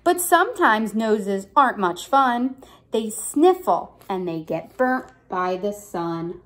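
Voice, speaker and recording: A young woman reads a picture book aloud at an unhurried pace. Her pitch swings in a lively way as she stresses key words. She speaks like a teacher, pausing to put simple questions to a small child. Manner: deliberate, emphatic storybook phrasing, prompting with questions. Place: indoor room at home.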